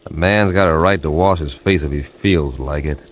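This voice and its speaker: A man playing an irritable, weary space traveller in an audio drama, gravelly and sardonic, with dramatic emphasis. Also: rumbling low, normal speed. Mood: weary, resigned. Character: weary, irritable male space traveller confined aboard ship. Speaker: lead actor in an audio drama. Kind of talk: radio drama dialogue and first-person narration.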